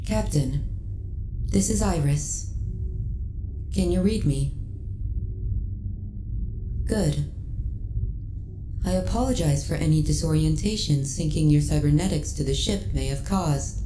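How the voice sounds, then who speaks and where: A woman voicing a friendly, caring ship AI in a sci-fi ASMR roleplay, speaking in a measured, formal, precisely enunciated way. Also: low-pitched, dark tone, roomy sound. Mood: calm, attentive.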